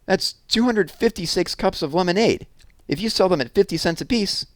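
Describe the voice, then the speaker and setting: Man acting as young girl, little sister, high pitch, emphatic, indignant, earnest, children's storybook. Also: animated, brisk, no room sound, clean studio sound. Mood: incredulous.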